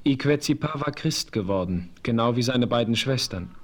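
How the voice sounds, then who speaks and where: A noble, solemn chief, played by a man, speaks with calm dignity in a radio play. His voice sits at an ordinary middle pitch and his phrasing is measured and formal. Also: close to an everyday voice. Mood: earnest.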